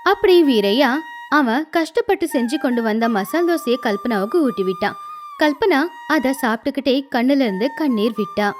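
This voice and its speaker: A woman's voice: fairly high pitch, lively intonation, moderate pace, faint music behind. A woman reading scripted storybook narration as the narrator of a tale.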